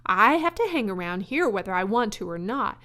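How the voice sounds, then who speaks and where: A woman's voice: mid-range voice, big pitch swings, brisk, sharp tone. A woman voicing a naive, boastful young male pig in a children's storybook, earnest and childlike. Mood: eager.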